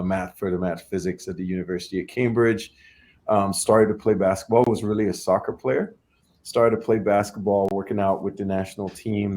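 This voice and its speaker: A man's voice: sits low, a little mellow. A young adult man from Trinidad and Tobago, a startup founder talking casually as an interview guest, often repeating and restarting his words.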